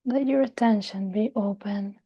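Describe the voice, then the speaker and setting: A woman guiding a meditation in a soft, breathy, near-whispered voice, gentle and soothing, with long pauses. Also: medium pitch, highs cut off. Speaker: meditation guide. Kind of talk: guided meditation.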